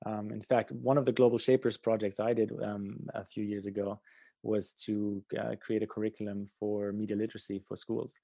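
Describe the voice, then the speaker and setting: A man's voice: fairly low pitch, level tone, normal speed, gravelly, mellow, no room sound. A man hosting a conversational interview, reflective and feeling his way through his thoughts.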